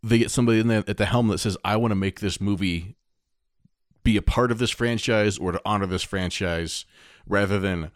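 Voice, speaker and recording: A man's voice: fairly low pitch, fairly quick, no room sound, clean studio sound. A man co-hosting a podcast in casual chat. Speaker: podcast co-host. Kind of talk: casual geek-culture chat.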